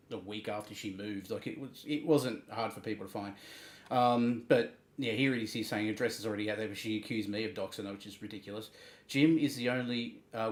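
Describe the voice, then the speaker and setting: A man hosting a livestream, reading messages and commenting in a halting, emphatic way, repeating words. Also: medium pitch. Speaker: livestream host. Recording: roomy, echoing sound.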